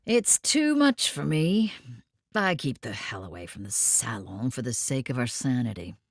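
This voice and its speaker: An actress playing a worldly, wry and jealous lover in an audio drama, blunt and sardonic in manner. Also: female voice, extremely low pitch, sing-song, unhurried, no echo, studio quality, exaggerated voice. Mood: exasperated.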